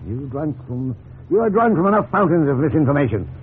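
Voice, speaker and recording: An actor playing a stern but supportive company boss in a 1950s radio drama, dry, formal and pointed. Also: male voice, on the high side, sing-song, fairly quick. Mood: sardonic. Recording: noisy, tinny 1950s radio recording.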